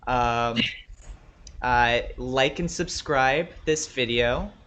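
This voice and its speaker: A man's voice: medium pitch, extremely slow, a bit bright, clean tone. A man hosting a casual interview, asking questions and addressing the audience in a conversational way. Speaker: interviewer, show host.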